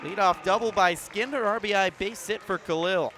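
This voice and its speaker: Give the voice of a male radio baseball announcer with a fairly high pitch. He calls play-by-play live from an outdoor ballpark, keeping a measured narration and punching up the key calls. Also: background noise.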